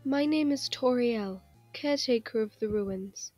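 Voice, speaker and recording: A woman plays a motherly monster caretaker in an audio drama, caring and concerned. She speaks slowly in a fairly high, gentle voice over clear music. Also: natural voice. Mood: kindly. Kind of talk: fan audio drama acting.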